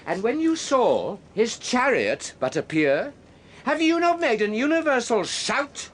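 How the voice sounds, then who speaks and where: A man's voice: extremely high pitch, sing-song, a little slow, exaggerated voice. An actor playing an indignant male Roman official who scolds a crowd, declaiming and dropping to a whisper. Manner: declamatory, scornful, drops to whispers. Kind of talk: radio drama acting.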